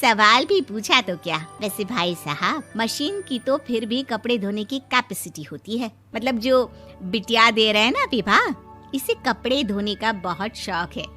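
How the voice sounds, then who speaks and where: A woman putting on the sly, bragging mother of a cartoon bride. The voice is high, but lower and softer than the performer's usual tone. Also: very animated, unhurried, soft background music, full character voice. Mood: boastful. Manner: sly, boastful. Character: boastful middle-aged mother of the bride.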